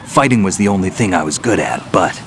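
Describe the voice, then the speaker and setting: Game veteran soldier: very low, gruff, earnest idealist, noisy backdrop. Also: male voice, expressive, brisk, fairly bright tone. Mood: reflective. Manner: terse, earnest. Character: world-weary, gruff veteran male soldier, idealistic loner. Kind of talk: dramatic video game acting. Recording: clear background noise.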